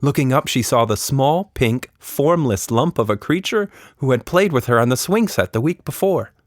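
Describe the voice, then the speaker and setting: A man's voice: mid-range voice, lively intonation, moderate pace, no room sound, studio quality. A man narrating a scripted children's story expressively, as a podcast storyteller.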